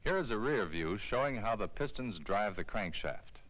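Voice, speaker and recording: Man, lower pitch, animated intonation, measured instructional narration, vintage classroom film sound. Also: rough recording. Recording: 1970s educational film soundtrack.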